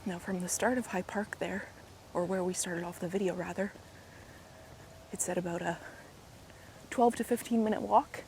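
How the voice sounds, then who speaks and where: Hoarse woman chatting on a park walk. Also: steady tone, moderate pace, pauses often, slight background noise, low-quality recording. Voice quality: raspy, slightly deep. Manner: chatty, often drops to soft asides. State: hoarse from a cold. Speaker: walking vlogger. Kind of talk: casual walking commentary. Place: outdoors in a park while walking. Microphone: handheld gimbal camera mic. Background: faint outdoor ambience.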